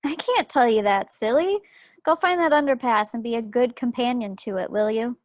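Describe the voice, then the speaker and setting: Wry, quick-witted young small-town woman of the 1940s voiced by a woman, lightly high voice, dry sound, table read. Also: dry recording, dull audio, lightly acted. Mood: wry, playful. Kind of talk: stage play table read. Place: at home on a video call. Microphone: computer microphone on a video call.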